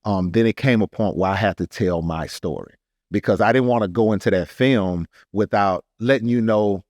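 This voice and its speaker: A man's voice: lowish voice, moderate pace, darkish tone, no room sound, studio quality. A man with an American accent telling a personal story in a casual, earnest and emphatic podcast style. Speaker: podcast speaker, artist telling his story.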